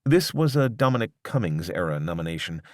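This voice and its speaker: A man reading a scripted piece of long-form journalism as narrator, in a measured, articulate way. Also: deep voice, sing-song, a little mellow, dry recording, studio quality.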